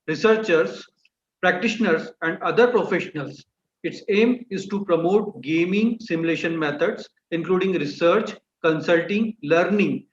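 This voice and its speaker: A man, the event coordinator, giving a formal welcome address and reading out a long list of guests and terms. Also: sits high. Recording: echoey, muffled webinar audio with faint room noise.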